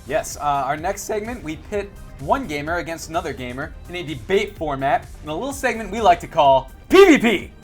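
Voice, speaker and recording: High-voiced young man ranting emphatically. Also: very animated, fairly quick, hardly any pauses, soft background music. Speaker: show co-host. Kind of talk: casual panel chat, mock rant.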